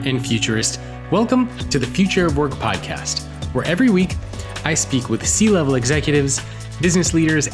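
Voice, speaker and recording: A man hosting a podcast, reading a scripted intro and then interviewing his guest in an upbeat way. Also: mid-range voice. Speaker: podcast host.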